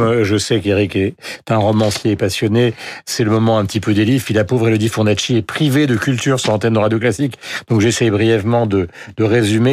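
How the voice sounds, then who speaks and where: A talkative man hosts a live radio discussion. His voice sits at a middle pitch and wanders through asides. The sound is dry and close. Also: no echo. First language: French. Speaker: radio host.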